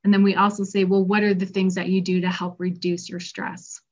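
A woman's voice: on the low side, level tone, brisk, a little mellow. A middle-aged woman who works as a health practitioner, giving a conversational talk to patients about stress while losing her voice. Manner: conversational, acts out patient quotes. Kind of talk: informal health presentation. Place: Colorado. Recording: dull lo-fi recording.

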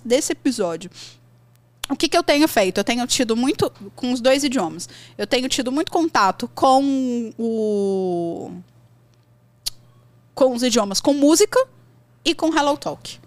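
A woman from Brazil talking casually as a podcast host. She has a high voice and an animated, chatty way of talking that jumps between thoughts. The sound is dry, with no room echo. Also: measured pace. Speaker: podcast host, English teacher.

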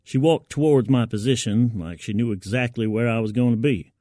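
A man's voice: mid-range voice, brisk, dark tone, dry recording. Man narrating gritty fiction, very dry sound. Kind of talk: dramatic first-person fiction narration.

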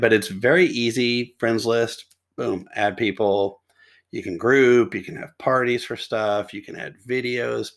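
A middle-aged man with a sore, hoarse throat, hosting a podcast and reviewing chat apps in a rambling, casual way full of restarts and asides. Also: mid-range voice. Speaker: podcast host. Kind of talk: casual tech review monologue.

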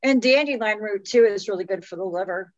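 A woman's voice: medium pitch, fairly quick, darkish tone, dry recording, highs cut off. A woman in her late fifties hosting a casual health interview in a chatty, conversational way, often saying "you know". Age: late 50s. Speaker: host.

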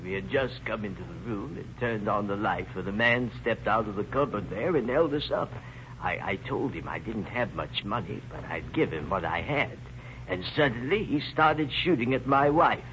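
An actor playing a genteel, formally spoken husband in a 1930s radio crime drama. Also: male voice, on the low side, animated, normal speed, fairly bright tone, dull audio. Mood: shaken. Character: genteel husband recounting his wife's shooting. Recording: noisy old 1930s radio recording.

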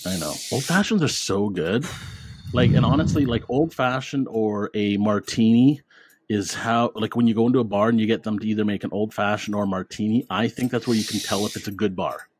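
A man's voice: lowish voice, fairly quick, darkish tone, a little hiss or hum. A man hosting a podcast in casual, jokey chat, laughing often and tripping over his words. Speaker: podcast host.

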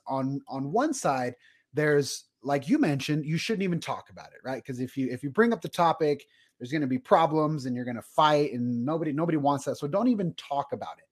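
Chatty podcast host, man, slightly high voice. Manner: casual and chatty, many "kind of" and "you know" hedges. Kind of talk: podcast interview.

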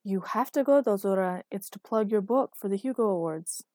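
A woman's voice: medium pitch, normal speed, dark tone, dry recording, close to an everyday voice. A woman narrator speaks as a responsible, exasperated man in an audiobook. He is firm and bossy, nagging his partner with long-suffering patience. The sound is dry and close. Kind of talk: acted audiobook dialogue.

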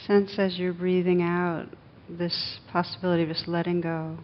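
A woman leading a guided meditation as a teacher, speaking gently and soothingly, with long unhurried pauses. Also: level tone. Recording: dull muffled recording with faint rumbling noise.

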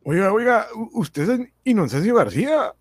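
A man's voice: sits high, sing-song, normal speed, slightly rough, no room sound, heavily acted. A man playing an eager, pushy young neighbour in a radio serial, stammering and repeating himself breathlessly. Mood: breathless excitement. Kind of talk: radionovela acting.

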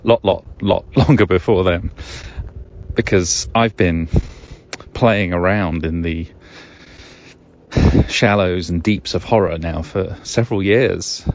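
Podcast host, male, middle-aged. Low register, hesitant musing with wry asides, slightly dull recording. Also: slow, long pauses. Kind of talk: musing solo podcast monologue. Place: outdoors in the woods. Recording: dull lo-fi recording, ambient noise.

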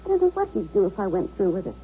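A woman's voice: medium pitch, very animated, very fast, close to an everyday voice. A woman playing an anxious, earnest bank manager and troubled wife, in a dramatic radio drama style. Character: anguished bank manager, fearful, conflicted wife and mother. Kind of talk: 1970s radio drama acting. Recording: dull, noisy old radio broadcast recording.

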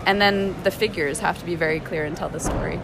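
A woman, a computer science professor, giving clear and measured advice in an interview. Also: fairly low pitch, fairly even intonation, background noise. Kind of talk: interview answers.

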